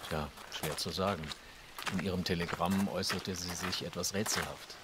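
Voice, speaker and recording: Actor as a deep-voiced, courteous gentleman. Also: steady tone, moderate pace, very noisy, natural voice. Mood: sober, polite. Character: courteous, formal gentleman of 1920s Vienna. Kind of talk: radio drama acting.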